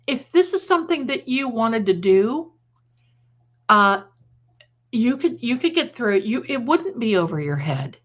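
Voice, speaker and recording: A woman's voice: a little high, fairly bright tone, lo-fi sound. A woman hosting a casual live chat, rambling and repeating phrases, with affectionate endearments for her viewers. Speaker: livestream host and creator.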